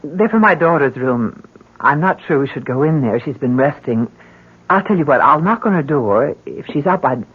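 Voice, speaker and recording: An actress in a radio drama playing an anxious, protective middle-aged mother, halting and fluttery. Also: mid-range voice, slightly rough. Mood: polite, nervous. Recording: dull old radio recording.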